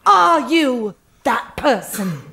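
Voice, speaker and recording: A manipulative female HR executive for a satirical radio play. Her voice is very high and she takes her time over her words. She coaxes with emphatic, relentlessly positive corporate polish. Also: big pitch swings, extremely slow, pushed and bright, heavily acted. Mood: persuasive. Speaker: actor. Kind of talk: satirical audio drama acting.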